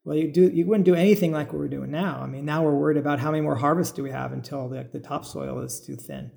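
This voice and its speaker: A man's voice: a little high, steady tone, fast, creaky, a little mellow. A middle-aged American man giving thoughtful, informal explanations as an interview guest, thinking aloud as he goes. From suburban California, United States. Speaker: podcast guest, biologist turned farmer. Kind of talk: conversational interview answers, explanatory.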